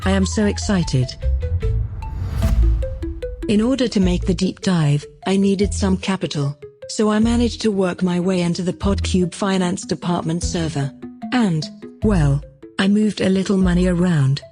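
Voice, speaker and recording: A woman's voice: on the low side, unhurried, dark tone, faint music behind, close to an everyday voice. A woman playing a self-promoting, cheerfully smug AI who presents her own podcast in a scripted comedic monologue. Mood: smugly cheerful. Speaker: podcast creator and host.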